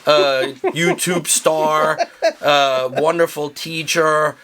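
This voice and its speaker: A man's voice: on the high side, fairly even intonation, extremely slow, a bit bright. A man in his forties with a Pennsylvania Dutch accent, chatting casually and joking as co-host. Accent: Pennsylvania Dutch-influenced American English. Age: 40s. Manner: folksy, joking, talks in restarts. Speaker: co-host, high school teacher. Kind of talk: casual chat.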